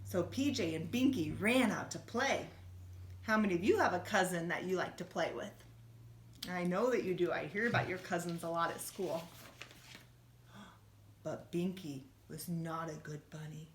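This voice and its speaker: A woman reading a children's storybook aloud like a teacher, expressively, with gentle questions to her young listeners. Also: on the low side, normal speed, a little hiss or hum. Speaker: schoolteacher reading a bedtime story. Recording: roomy, echoey room sound.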